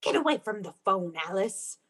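A woman's voice: mid-range voice, expressive, moderate pace, a bit bright, breathy edge. An actress playing an obsessive, unhinged young woman in a melodramatic radio play, with a theatrical, breathless, intense manner. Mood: fierce, commanding. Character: obsessive, unhinged young woman convinced of her dark power. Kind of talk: melodramatic old-time radio play acting.

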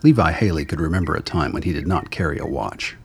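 Male storyteller, extremely deep, creaky, husky, scripted radio drama narration. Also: expressive, quick pace, a little hiss or hum.

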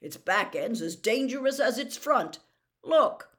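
A woman's voice: a little high, expressive, a little slow, fairly bright tone, pitched above the performer's own voice. Woman doing a blunt young man, firm, emphatic, practical dragon keeper, storybook. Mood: matter-of-fact. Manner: firm, matter-of-fact, emphatic.

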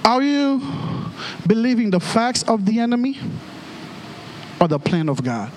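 A man with a Haitian accent, a native Creole and French speaker, preaching a storytelling sermon in an animated way and acting out dialogue with exclamations. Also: very high-pitched, a little slow, slight background noise, poor audio.

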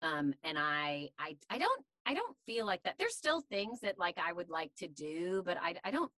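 A woman voice actor with a deep voice, talking candidly and chattily in an interview, with many fillers. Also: very animated, moderate pace, bright, forceful tone, dry recording. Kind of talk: casual interview chat.

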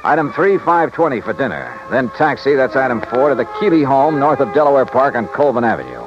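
Guy doing wry hard boiled radio detective, clipped and super expressive, muffled old time recording. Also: mid-range voice, fairly quick, over music, lightly acted. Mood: knowing narration. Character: wry, hard-boiled male insurance investigator. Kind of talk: 1950s-60s radio detective acting, first-person narration.

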